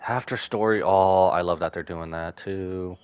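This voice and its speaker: A man's voice: low voice, moderate pace, darkish tone, clear, resonant tone, dry recording, highs cut off. A man doing casual live commentary on a game, sentimental and musing aloud to himself as he reacts. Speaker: game streamer.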